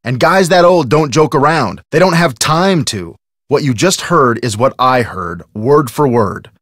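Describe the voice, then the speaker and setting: An actor playing an eager, level-headed young American detective in a video game, talking in an earnest, teasing, conversational way. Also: male voice, mid-range voice, lively intonation, a little slow, a bit bright, no room sound, clean studio sound. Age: young adult.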